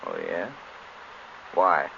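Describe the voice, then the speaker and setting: An actor playing a tough, nervous small-time crook in an old radio crime drama, talking in curt slang. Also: male voice, low voice, very animated, extremely slow, vocal fry, a bit bright, full character voice. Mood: curt, suspicious. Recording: dull old radio recording with hiss.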